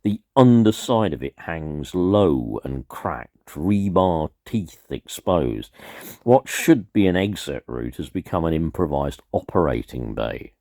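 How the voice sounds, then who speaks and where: A man reading scripted dramatic narration as a narrator, in a grave and deliberate manner. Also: very deep voice, big pitch swings, warm tone, no room sound, studio quality.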